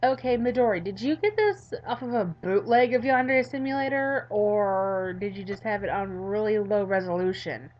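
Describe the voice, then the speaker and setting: A woman's voice: medium pitch, animated, normal speed, few pauses, muffled recording, slight background noise. A tired woman reads a visual novel aloud in a let's-play, dropping in informal, playful asides. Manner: informal, playful, self-interrupting.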